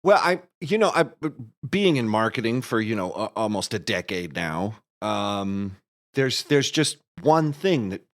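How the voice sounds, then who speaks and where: A man giving casual, halting, thoughtful explanations as an interview guest. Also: fairly low pitch, sing-song. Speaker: guest, composer and marketer.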